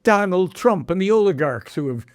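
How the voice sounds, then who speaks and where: A man's voice: sits high, lively intonation, normal speed, no echo. Man reading aloud and musing, dry close sound. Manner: reads prophecy text then adds his own commentary, asks rhetorical questions. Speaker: podcast host. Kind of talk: reading aloud and casual discussion.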